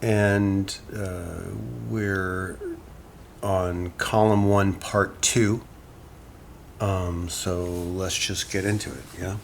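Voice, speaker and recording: Male classics lecturer, audio fiction. Deep-leaning, unhurried, measured, with faint background noise. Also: flat delivery, creaky, heavily acted. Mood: casual, hesitant. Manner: casual and halting when talking, solemn when reading. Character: hesitant male classics lecturer reading an ancient epic aloud. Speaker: university lecturer.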